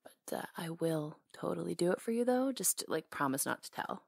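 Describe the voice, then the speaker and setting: A woman's voice: lowish voice, big pitch swings, normal speed, gravelly, no room sound, studio quality. A woman acting in an intimate ASMR roleplay as a hair stylist, talking casually in a caring, teasing way.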